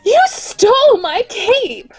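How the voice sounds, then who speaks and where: A woman's voice: very high-pitched, big pitch swings, slow, faint music behind, full character voice. A woman playing a proud young female circus star in a 1940s-style radio drama table read, sounding indignant and taunting.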